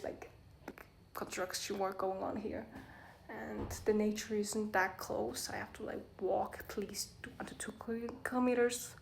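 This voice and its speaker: A woman's voice: mid-range voice, creaky, darkish tone, slight background noise. A young woman with a Mongolian accent, a native Mongolian speaker, casually reading aloud and commenting in a rambling, self-correcting way as a vlogger. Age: young adult.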